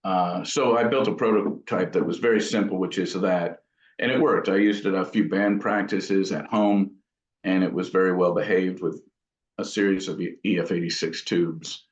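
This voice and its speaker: A man's voice: sits low, steady tone, moderate pace. A man being interviewed as an amp builder, talking casually in thoughtful, rambling explanations. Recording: dull, echoey room sound.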